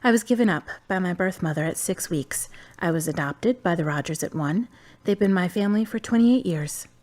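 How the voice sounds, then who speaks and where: An actress playing a calm, wise young woman in her twenties from the United States, who talks bluntly and teases dryly. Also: on the low side, brisk, natural voice. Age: 20s. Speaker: friend and love interest. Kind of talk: amateur web drama acting.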